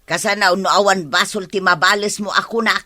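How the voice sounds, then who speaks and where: Sharp-voiced nagging wife. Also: female voice, mid-range voice, steady tone, a little fast. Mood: worried, reproving. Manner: complaining, nagging. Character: exasperated wife scolding her vengeful farmer husband. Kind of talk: Ilocano comedy radio drama acting.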